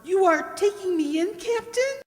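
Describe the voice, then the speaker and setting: Radio sweetheart: extremely high, plaintive and blubbering, slow delivery, heavy hall echo. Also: female voice, expressive, darkish tone. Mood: alarmed. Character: whimpering, helpless sweetheart being taken for questioning. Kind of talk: old-time radio drama acting. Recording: very echoey hall, long reverb.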